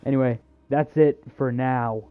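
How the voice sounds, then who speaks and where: A man's voice: mid-range voice, fairly even intonation, unhurried, smooth, no echo, phone-quality sound, soft background music. A man giving casual video game walkthrough commentary, with hesitant, wry, self-deprecating asides.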